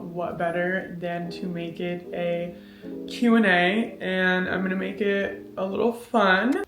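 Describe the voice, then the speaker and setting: A woman's voice: on the low side, fairly bright tone. Chatty woman in her twenties, roomy echo. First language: Farsi. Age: 20s. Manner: chatty, candid, laughs often and swears casually. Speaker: vlogger. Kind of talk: casual Q&A vlog to camera. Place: small apartment living room, indoors. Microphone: camera in front, seated framing. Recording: echoey room with music behind.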